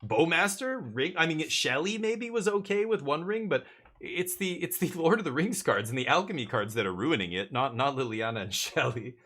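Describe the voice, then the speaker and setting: A man's voice: a little high, animated, moderate pace, fairly bright tone. A man from Canada chatting casually on a live stream while gaming, opinionated and blunt, swearing and stumbling over repeated words as he rants.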